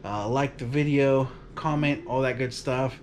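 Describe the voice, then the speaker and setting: A man in his thirties talks loosely to the camera from his couch at home, in a middle-pitched voice. He rambles and laughs as he wraps up a trip. The sound is picked up by a camera across the living room. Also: a little slow, slight background noise. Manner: relaxed, rambling, laughs often. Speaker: vlog host. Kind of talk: casual chat to camera.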